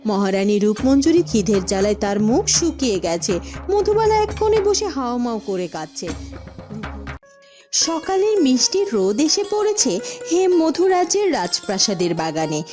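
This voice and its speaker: A woman giving scripted narration for a fairy-tale cartoon in an even, clear manner. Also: fairly high pitch, normal speed, music in the background. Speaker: narrator and voice of Charulata.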